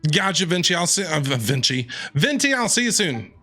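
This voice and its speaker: A man streaming a video game, reacting excitedly in casual commentary and talking back to the characters. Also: fairly high pitch, fairly quick. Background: game music.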